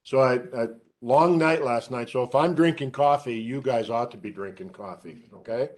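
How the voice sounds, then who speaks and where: A middle-aged man giving a seminar lecture to students, who calls his own voice monotone and drops dry joking asides while he asks the room questions. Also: mid-range voice, moderate pace. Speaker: research scientist giving a seminar.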